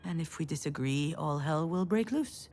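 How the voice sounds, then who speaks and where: An actress playing a bitter, sarcastic adult daughter, a failed screenwriter, in a dry, theatrical way for a video game. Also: female voice, sits low, lively intonation, moderate pace, darkish tone. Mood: indignant.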